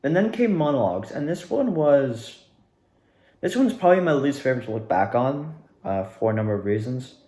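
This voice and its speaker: A young man telling a casual personal story in a rambling, animated way, repeating words for emphasis. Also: mid-range voice, moderate pace. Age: young adult. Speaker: student actor recounting past performances. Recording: echoey, reverberant room.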